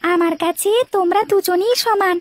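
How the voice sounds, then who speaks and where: A woman's voice: extremely high pitch, steady tone, moderate pace, no echo. A woman voicing a sweet, eager young schoolboy in a cartoon, speaking in an earnest, childlike way. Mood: eager, affectionate.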